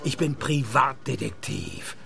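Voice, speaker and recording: Laconic private eye in a radio play, crackly fry in the voice, deadpan n terse. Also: male voice, medium pitch, slow pace, exaggerated voice. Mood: dry, matter-of-fact. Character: laconic male private detective narrating his case. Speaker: lead actor and narrator. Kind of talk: Swiss radio play detective narration and dialogue.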